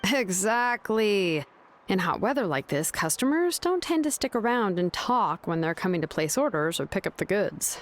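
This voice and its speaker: A woman's voice: mid-range voice, sing-song, normal speed, a little hiss or hum, close to an everyday voice. An actress playing an easygoing adult craftswoman in a video game, talking in a laid-back, matter-of-fact way. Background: game music.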